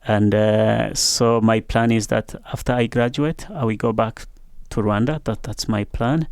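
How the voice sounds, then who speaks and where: A man's voice: lowish voice, steady tone, a little slow, vocal fry, darkish tone, no echo, studio quality. A man who is a graduate student, answering interview questions hesitantly with many fillers.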